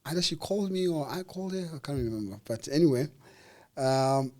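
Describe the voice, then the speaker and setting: A man with a South African accent telling a personal story in a casual interview, acting out the people in it and pausing on fillers. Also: a little high. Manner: animated, acts out dialogue with voices, hesitant fillers. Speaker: interview guest.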